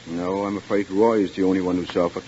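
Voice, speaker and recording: An actor playing a calm, kindly male small-town doctor in a 1940s radio drama. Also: deep voice, fast, breathy edge. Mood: calm, courteous. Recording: muffled, noisy 1940s radio recording.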